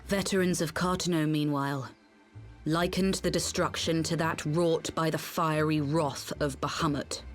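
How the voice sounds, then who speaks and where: Woman playing a low, solemn fantasy officer. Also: fairly even intonation, soft background music, natural voice. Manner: solemn, measured, formal. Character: grave, composed female military officer. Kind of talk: fantasy game voice acting.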